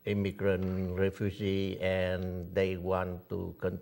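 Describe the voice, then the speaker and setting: A man interviewing a guest, asking questions in a halting way as he searches for his words. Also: low-pitched, completely flat delivery, creaky, a little mellow. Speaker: interviewer, local TV host.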